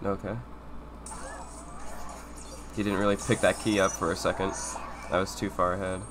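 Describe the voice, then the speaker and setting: A young man with a cold, sniffling and talking in low-key asides while streaming a speedrun from home. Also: level tone, moderate pace, music in the background. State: sick, sniffling. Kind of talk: casual live-stream commentary. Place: home room with air conditioner.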